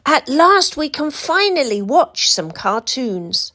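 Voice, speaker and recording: A British woman voicing an excitable, scheming little boy from a picture book in a dramatic, exclaiming way. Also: sits high, slow, voice pushed up, exaggerated voice. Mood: relieved.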